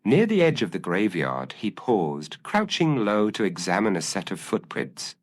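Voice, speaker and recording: A man narrating a scripted gothic mystery story in a measured, theatrical, formal storytelling manner. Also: lowish voice, huge pitch swings, no room sound. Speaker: audiobook narrator.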